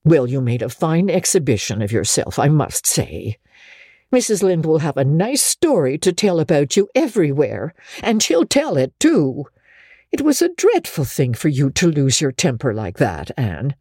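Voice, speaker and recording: Woman playing a stern, low-voiced country guardian. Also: wildly swooping pitch, moderate pace, mellow, husky, no echo, clean studio sound, full character voice. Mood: exasperated. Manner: scolding, severe, firm. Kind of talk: dramatic audiobook reading.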